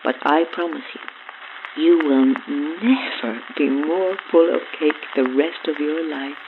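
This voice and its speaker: Low, creepy cake-offering lady. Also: measured pace. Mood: ominously sweet. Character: creepy cake-offering woman luring children, ominously sweet. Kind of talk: horror audio drama acting. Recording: tinny narrow-band sound over noisy ambience.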